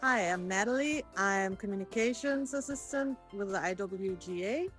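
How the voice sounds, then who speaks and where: Medium-pitched woman with faint music. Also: normal speed, a bit bright. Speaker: communications assistant. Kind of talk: short video introduction with a fun fact.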